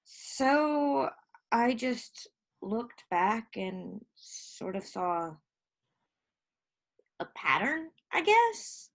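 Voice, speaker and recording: Woman to play insecure, hurting little sister in a stage drama; high, swooping voice, halting and raw. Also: sing-song, full character voice. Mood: hesitant, wounded. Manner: halting, emotional, profane. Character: hurt, insecure younger sister fresh out of rehab. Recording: live stage recording.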